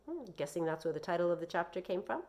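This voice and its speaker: A woman's voice: on the low side, sing-song, quick pace, darkish tone. A woman reading a children's novel aloud as narrator, with brief explanatory asides.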